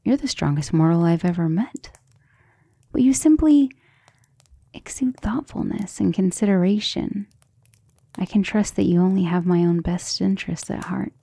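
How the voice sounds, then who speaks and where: A woman voicing a centuries-old vampire lover in an ASMR romantic roleplay, soft, breathy and often whispering, intimate and gentle, with formal wording. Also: medium pitch, moderate pace, dry recording, studio quality. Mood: admiring, affectionate. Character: centuries-old female vampire lover, tender, protective, self-assured.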